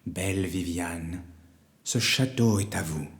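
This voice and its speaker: A man voicing a wise, solemn old wizard in a storybook, speaking slowly, gravely and deliberately. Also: low voice, big-room sound. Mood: tender, grave. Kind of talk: storybook character voice.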